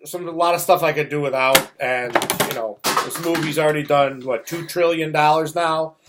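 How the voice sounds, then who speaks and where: Blunt, slightly high-voiced man ranting casually. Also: steady tone, moderate pace, noisy background. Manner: blunt, dismissive, sarcastic. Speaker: co-host of a father and son movie review show. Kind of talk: casual film review rant.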